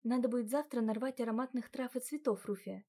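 Soft-spoken young heroine, by a woman narrator, quiet, mellow, gentle. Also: a little high, fast talker.

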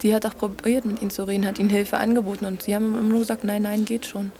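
A woman's voice: mid-range voice, flat delivery, fast, dark tone. A woman asking direct, probing questions in a discussion.

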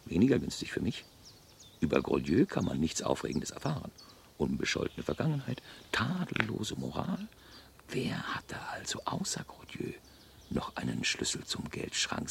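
An actor in a 1970s German radio drama playing a nervous, calculating embezzler and blackmailer in a theatrical manner. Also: male voice, low-pitched, gravelly, a bit bright, a little hiss or hum, full character voice. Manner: theatrical, muttering asides to himself. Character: scheming male embezzler, nervous, calculating, smoothly menacing blackmailer.

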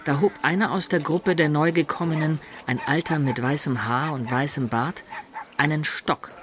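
A man's voice: fairly high pitch, normal speed, close to an everyday voice. A man as a storytelling traveller, noisy ambience behind. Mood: vivid. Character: storytelling traveller recounting his own homecoming adventures. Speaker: first-person narrator. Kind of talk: scripted narration in a radio play.

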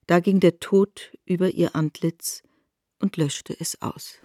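A woman narrating a literary radio drama in a measured, restrained manner. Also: on the low side, steady tone, mellow, dry recording, clean studio sound. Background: faint instrumental music.

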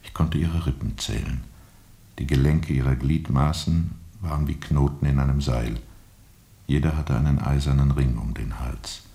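Haunted river captain, extremely deep, gravelly. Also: male voice, warm tone. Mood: grave, bitter. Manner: slow, grave, measured, often sinking toward a whisper. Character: brooding, haunted steamboat captain recalling a colonial river journey. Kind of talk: radio drama monologue.

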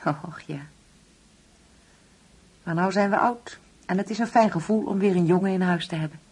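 An actress playing a wistful, uneasy older mother in a Dutch radio play, speaking hesitantly and brooding, at times hushed. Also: lowish voice, moderate pace, breathy edge, low-quality recording. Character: wistful, uneasy ageing mother grieving an absent son.

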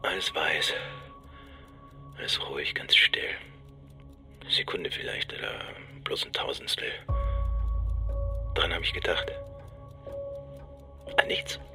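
An actor playing a shaken, bitter policeman recounting his trauma in a halting, breathy monologue for a radio drama. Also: very deep voice, heavily acted. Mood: numb, haunted. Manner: halting, fragmented, often breathy half-whisper.

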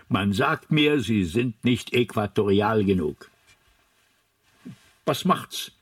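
A man playing a gentle, old-fashioned elderly planet designer in a radio drama, musing in a halting, wistful way with a husky, breathy voice. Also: on the low side, no room sound, heavily acted.

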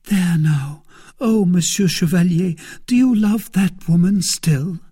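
Man voicing a high, pleading young maid. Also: slow, warm tone, slightly rough, full character voice. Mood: anxious. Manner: emotional, pleading. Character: frightened, devoted young maid, pleading and lovelorn. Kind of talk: dramatised audiobook reading.

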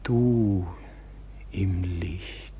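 An actor playing the humble, self-doubting soul of a martyred leader in a solemn radio play, speaking in a reverent, halting, prayerful way. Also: male voice, deep voice, warm tone, lo-fi sound, slight background noise. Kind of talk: solemn classic German radio play acting.

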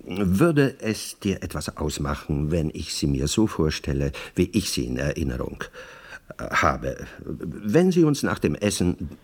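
A wry, doubting friend in a radio drama. His low, raspy voice swings widely in melody. He humours the other with teasing skepticism and small hesitations. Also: big pitch swings, a little slow, heavily acted. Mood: hesitant. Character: skeptical, amused male friend humouring a grieving widower. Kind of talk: German radio play acting.

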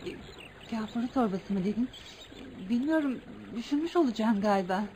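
A bored, evasive young woman in a radio play, acted by a woman. Her high voice is hesitant and theatrical. The recording carries slight noise. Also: animated, brisk, warm tone, close to an everyday voice. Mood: surprised, evasive. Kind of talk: Turkish radio theatre acting.

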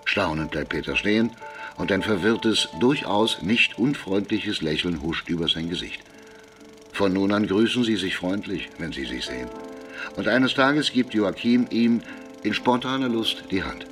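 An actor narrating an absurd farce for a radio drama in a measured, deliberate storytelling manner. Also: male voice, low-pitched, fairly bright tone.

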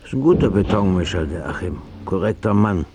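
An actor playing a laconic, businesslike building-site boss in a naturalistic radio drama. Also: male voice, low-pitched, lively intonation, fairly quick, background noise. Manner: laconic, matter-of-fact.

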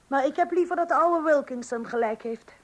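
An actress playing a sceptical, worried suburban housewife in a radio comedy play, fretful and disapproving. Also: female voice, sits high, fairly quick, natural voice. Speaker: radio drama actor.

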